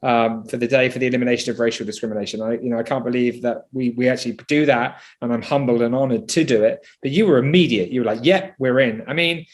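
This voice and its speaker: A man hosting an interview at a middle pitch, effusive in his thanks and praise. He talks casually with fillers and small restarts. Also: fast, few pauses. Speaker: interviewer, charity founder. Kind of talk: conversational interview.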